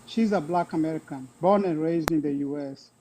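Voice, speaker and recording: A middle-aged man with a Kenyan accent, talking casually and haltingly about himself as a guest caller. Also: fairly high pitch, warm tone, slight background noise, rough recording. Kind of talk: casual personal talk.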